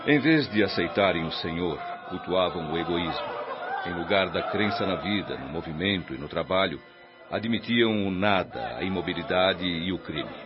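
An actor with a Brazilian accent playing a calm, stern spirit instructor in a radio drama, teaching in a solemn, measured way. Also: male voice, low-pitched, over a noisy crowd. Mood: grave, instructive. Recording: dull lo-fi radio drama with music bed.

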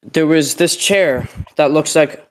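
A man's voice: on the high side, takes it slowly, rough recording. A young man with a cold, telling a story in a casual podcast chat, rambling with many fillers and restarts. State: sick, sweating. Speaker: podcast co-host.

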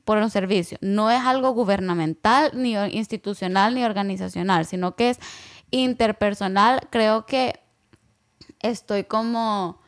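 A woman's voice: medium pitch, a little slow. A young adult woman musing aloud in casual conversation, rambling with lots of fillers and slipping in English words.

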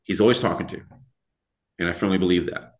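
A man with a deep voice, a podcast guest telling stories in an earnest, plain-spoken way. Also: fast talker. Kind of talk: casual storytelling.

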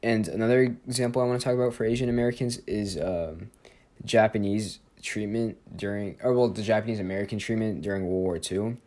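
Rambling teenage boy with a low voice. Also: steady tone, brisk, warm tone. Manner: rambling, with many fillers and self-corrections. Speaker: student podcast host. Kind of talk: casual spoken presentation and interview.

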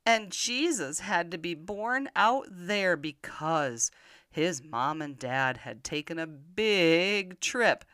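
Older woman, low-pitched animated children's storyteller. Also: no echo, clean studio sound. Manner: expressive, simple questions addressed to young children.

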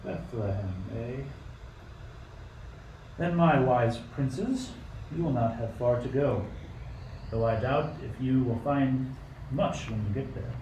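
A man's voice: medium pitch, slow, darkish tone, lightly acted. Man playing imperious King Herod, roomy recording. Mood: measured, scheming. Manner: imperious, declamatory. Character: King Herod, stern, suspicious, bitter tyrant ruler. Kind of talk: amateur radio play acting. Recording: roomy, distant mic, faint noise.

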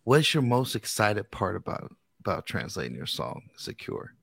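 A man's voice: lowish voice, very animated, moderate pace, gravelly, fairly bright tone, slightly rough, no echo, clean studio sound. A man with an American accent hosting a radio interview in an informal, encouraging, slangy way. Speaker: gospel radio host. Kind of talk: casual interview chat.

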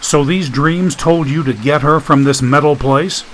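A man's voice: on the high side, measured pace, a little hiss or hum, pitched above the performer's own voice, natural voice. A man voicing a weary, irritable man in an audiobook, questioning curtly and impatiently. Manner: curt, tense questioning.

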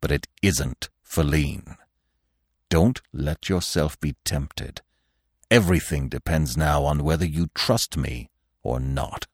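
Man voicing a grave, low-voiced old stag. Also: slightly rough, no echo, clean studio sound, exaggerated voice. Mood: grave, commanding. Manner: earnest, slow, stern and quiet. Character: wise, commanding old male stag, grave and unhurried.

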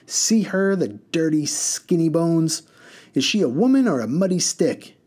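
A man's voice: on the high side, very animated, unhurried, darkish tone, pitched above the performer's own voice. A man voicing a quarrelsome woman passenger who jeers scornfully. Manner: jeering, scornful.

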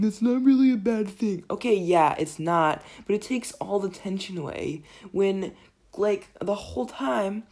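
Podcaster, raspy voice, under the weather, rambling, self-deprecating, exasperated jokes. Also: medium pitch, darkish tone. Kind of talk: casual rambling film commentary.

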